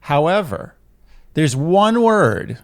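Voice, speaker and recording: A man's voice: fairly high pitch, big pitch swings, slow. A man co-hosting an advice podcast, talking casually and emphatically, repeating phrases to drive a point home. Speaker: podcast co-host giving advice. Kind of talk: casual, opinionated advice chat. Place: living room, indoors.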